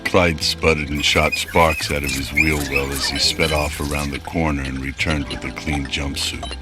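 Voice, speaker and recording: Very deep-voiced male narrator. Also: flat delivery, normal speed, loud music behind the voice. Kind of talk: scripted radio drama narration.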